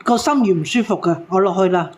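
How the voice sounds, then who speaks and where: A woman's voice: mid-range voice, darkish tone. Disapproving woman, faint noise. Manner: disapproving, halting restarts. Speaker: listener calling in to comment. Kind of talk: casual opinionated call-in talk.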